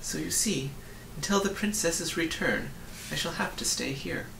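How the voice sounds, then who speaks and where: Man putting on a high, wistful old witch. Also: normal speed, creaky. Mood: wistful, resigned. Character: sad, resigned elderly female witch, kindly. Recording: roomy, distant mic with faint noise.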